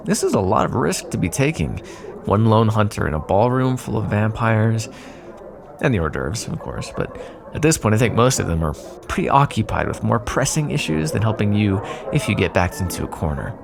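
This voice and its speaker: Sly vampire rival, played by a male actor: lowish gravelly voice, sarcastic and teasing, very animated pitch, intimate ASMR roleplay. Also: moderate pace, over music. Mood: wry, coaxing. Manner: sarcastic, teasing, drawling asides.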